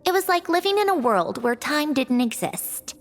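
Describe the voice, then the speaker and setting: A proud bossy robot lady from a video game, highish lively voice, music behind. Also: moderate pace. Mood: wistful. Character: female created automaton, wistful, bossy, proud, fond of puzzles. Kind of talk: English video game voice acting.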